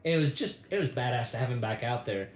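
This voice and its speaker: A man in his thirties co-hosting a casual motocross recap, talking in a relaxed, easygoing way. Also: mid-range voice, fast talker, reverberant. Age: 30s. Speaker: co-host.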